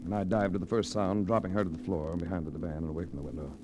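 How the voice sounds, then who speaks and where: Man acting a fast-talking, wry hard-boiled radio investigator. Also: low-pitched, level tone, natural voice. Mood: cool, alert. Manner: dry, unruffled, wisecracking. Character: cool, wry, hard-boiled private investigator, former OSS agent. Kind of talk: 1940s radio mystery acting and first-person narration. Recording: hissy old radio drama recording.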